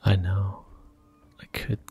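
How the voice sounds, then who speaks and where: A man talking softly through a video game in a slow, gentle ASMR whisper. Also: low voice, level tone, slight background noise. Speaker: ASMR gaming channel host. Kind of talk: soft-spoken ASMR gameplay commentary.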